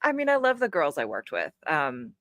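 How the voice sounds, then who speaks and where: A woman's voice: low-pitched, huge pitch swings, moderate pace, fairly bright tone, no echo, clean studio sound. A woman guest, an actor and audiobook narrator, telling stories frankly and with plenty of swearing. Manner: frank, profane, storytelling. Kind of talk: casual interview chat.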